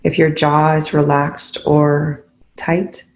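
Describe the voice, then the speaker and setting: A meditation guide speaking calmly and instructively, leaving long pauses between phrases. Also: medium pitch.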